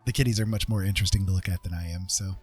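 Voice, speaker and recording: An American man hosting a casual livestream chat in a halting way, often repeating his words. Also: deep voice, a little mellow, slightly rough, faint music behind. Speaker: livestream host.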